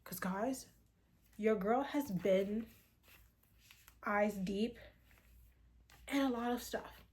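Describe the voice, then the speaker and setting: A woman's voice: medium pitch, extremely slow, darkish tone. A woman in her late twenties chatting casually to camera in a personal vlog, rambling and swearing freely, sometimes dropping into a whisper. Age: 20s. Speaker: vlogger.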